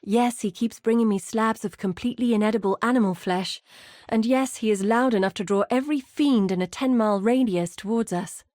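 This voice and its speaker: An actress playing an earnest, guarded woman mapmaker who guides an exile in a video game, speaking in measured, articulate lines. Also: on the high side, a little mellow, dry recording, clean studio sound, close to an everyday voice. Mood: wry, fond. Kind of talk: scripted video game character dialogue.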